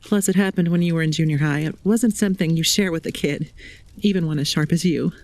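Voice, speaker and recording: Middle-aged mother, ex-reporter, played by a woman: frank, caring, mellow, lowish register, audio drama storytelling. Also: quick pace. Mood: confiding, frank. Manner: matter-of-fact, conversational storytelling. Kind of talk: fan comic audio drama acting.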